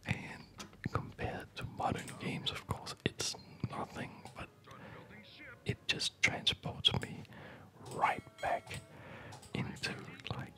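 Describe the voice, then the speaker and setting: A person whispering breathy, unhurried ASMR commentary while playing a video game, musing softly aloud. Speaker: video gamer narrating own play. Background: music.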